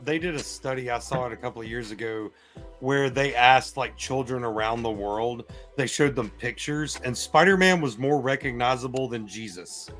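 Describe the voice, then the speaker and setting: A male podcast host with a middling pitch chats casually on a live stream. He rambles a little and keeps things jokey. Soft music plays faintly behind him. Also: moderate pace.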